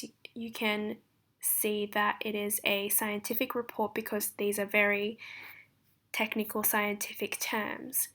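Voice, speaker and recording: Flat, gravelly-toned young woman presenting. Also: mid-range voice. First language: Japanese. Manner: formal, measured, read-through delivery. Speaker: translation student. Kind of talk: recorded class presentation.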